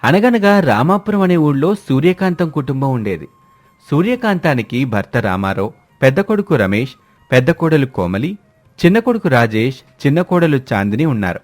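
A man's voice: mid-range voice, big pitch swings, normal speed, soft background music. A man narrating a scripted family story as a storyteller.

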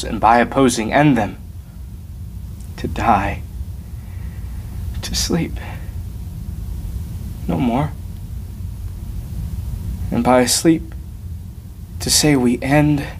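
A man performing a Shakespearean soliloquy as a brooding, anguished young prince, hushed and deliberate, with weighty pauses. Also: mid-range voice, close to an everyday voice. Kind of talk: Shakespearean stage monologue. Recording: faint background room noise.

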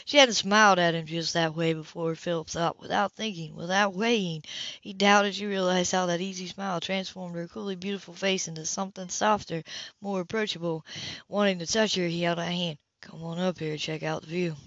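A woman's voice: on the low side, steady tone, fairly quick, a bit bright, no echo. A woman reading a romance novel aloud as an amateur narrator, expressively, with occasional slips. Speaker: amateur audiobook reader. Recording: dull, lo-fi audio.

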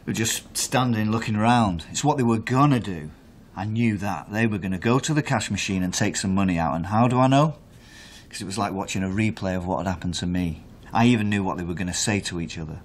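A man's voice: fairly low pitch, fast talker, slight background noise, close to an everyday voice. An actor playing an aggrieved man recounting events under questioning in a naturalistic radio drama, emphatic and asking himself questions. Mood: agitated, bitter. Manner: emphatic, self-questioning storytelling.

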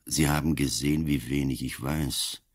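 An older man playing a gruff, cunning old police commissioner in a radio drama, slow and deliberate, weighing each word. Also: steady tone. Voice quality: husky, very deep.

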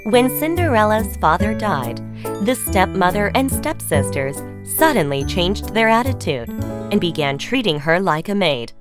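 A woman's voice: mid-range voice, very animated, moderate pace. A woman narrating a children's fairy tale as a scripted storyteller. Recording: music under the voice.

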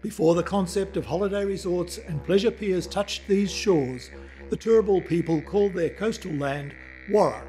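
A man's voice: sits high, moderate pace, dark tone, soft background music, rough recording. A man reading scripted historical narration for a documentary.

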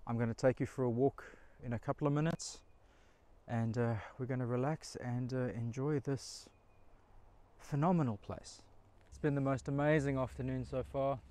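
A man's voice: mid-range voice, a little mellow. A man, chatting eagerly to camera while camping outdoors in a pine forest. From Australia. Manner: enthusiastic, chatty, talks straight to camera. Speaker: camping vlogger. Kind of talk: casual solo camping vlog. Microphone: camera mic, handheld selfie and tripod framing.

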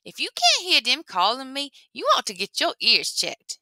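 A woman voicing a sly, boastful male rabbit trickster in a folktale, with a high, lilting, knowing way of talking. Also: wildly swooping pitch, normal speed, bright, forceful tone, no echo, exaggerated voice.

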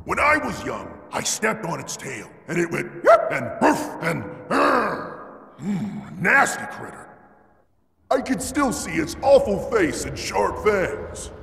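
A man's voice: sits high, huge pitch swings, slow, gravelly, a bit bright, heavily acted. A man playing a boisterous, big-hearted rock-creature warrior in a video game, chummy and blustering, easily embarrassed. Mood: confiding, grumbling. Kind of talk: scripted video game character acting. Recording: echoey game playback with long reverb, noisy ambience.

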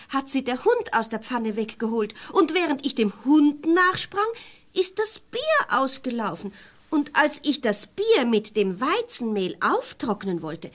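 An actress playing a naive, well-meaning and scatterbrained young peasant wife in a fairy-tale radio play, chatting artlessly. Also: female voice, high voice, heavily acted. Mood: innocent excuses. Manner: artless, chatty, talking to herself.

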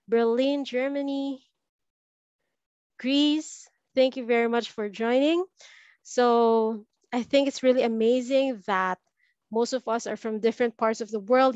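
A woman's voice: a little high, darkish tone, dry recording. A woman from the Philippines hosting an online language webinar over a video call, upbeat and welcoming. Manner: upbeat, welcoming, scripted-sounding. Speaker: host. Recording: muffled video call audio.

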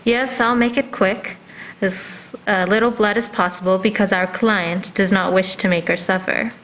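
A businesslike hired killer , acted by a woman in a 1940s-style radio play. The voice is mid-pitched for a woman, matter-of-fact and compliant, with short reassuring replies. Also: fairly even intonation, normal speed, fairly bright tone, close to an everyday voice, rough recording. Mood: calm, conspiratorial. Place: dark stage, masked, at prop payphone.

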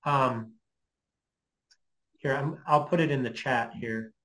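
A middle-aged man gives an informal explanation as a lecturer answering questions online. He speaks haltingly, working out his thoughts as he goes, at a medium pitch, from a small room on a computer mic. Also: fairly bright tone. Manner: tentative, halting, thinking aloud. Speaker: guest lecturer. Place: small home office, indoors. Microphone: computer mic on a video call.

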